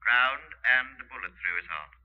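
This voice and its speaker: An actor playing a drily droll British police officer phoning in, with a telephone effect on a 1950s radio drama. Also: male voice, mid-range voice, lively intonation, normal speed. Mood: dry, matter-of-fact. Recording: muffled telephone voice on vintage radio recording.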